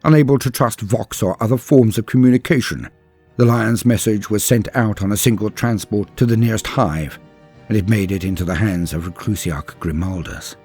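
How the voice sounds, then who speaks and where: A man narrates with a low, husky voice, grave and deliberate like a storyteller recounting dark lore. The delivery is scripted and dramatic, solemn throughout, with music playing underneath. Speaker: narrator and host of a lore channel.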